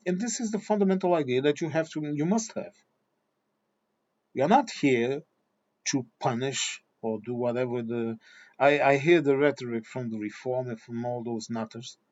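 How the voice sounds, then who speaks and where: A man's voice: medium pitch, normal speed, a little mellow, dull audio. A man with a Portuguese accent, Portuguese his first language, delivering a blunt, combative monologue straight at his viewers. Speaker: video commentator. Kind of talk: ranting monologue.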